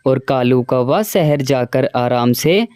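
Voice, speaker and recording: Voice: medium pitch, slow, darkish tone, smooth, no echo, clean studio sound. A narrator telling a children's cartoon story in a plain storytelling way.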